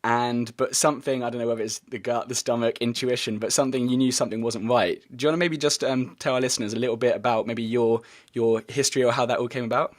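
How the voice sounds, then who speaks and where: Male podcast interviewer, medium pitch, excited and informal, often stumbling and rephrasing as he goes. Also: quick pace. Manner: hesitant, rambling, stumbling over sentences. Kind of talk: casual enthusiastic interview.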